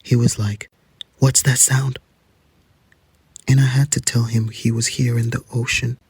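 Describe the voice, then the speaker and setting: An anxious young man telling a horror story for an audio drama. His voice is very husky with a creaky edge, informal and hesitant, recorded dry and close with music behind. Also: mid-range voice, flat delivery, a little slow, a little mellow, no echo, full character voice. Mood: uneasy recounting. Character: anxious young man recounting a night lost among dunes. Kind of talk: first-person horror audio drama monologue.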